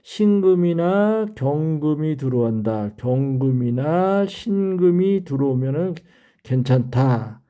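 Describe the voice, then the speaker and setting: Older man lecturing in a very mellow voice. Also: fairly high pitch, lively intonation, slow. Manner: didactic, repeats key terms for emphasis. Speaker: fortune-telling teacher. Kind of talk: explanatory lecture at a board.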